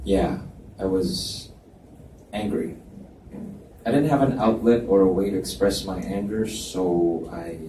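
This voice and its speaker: A man giving a low-key, earnest live reading of a novel's male lead at a library event. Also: slow pace, long pauses, dark tone. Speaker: reader voicing the male lead. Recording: roomy, distant mic with faint hiss.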